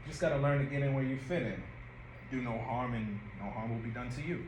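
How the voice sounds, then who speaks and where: A man's voice: medium pitch, brisk, close to an everyday voice. A man playing a guarded young new hire at a cafe in an audio play read-through, polite, hesitant and wary. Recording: echoey room with background noise.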